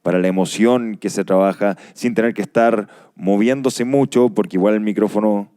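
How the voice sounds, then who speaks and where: A Chilean man talks informally on a panel. His voice is low and softly mellow, with a clean, smooth tone and a thoughtful delivery. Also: moderate pace, no room sound, studio quality. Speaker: radio drama actor on a panel. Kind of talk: casual panel discussion.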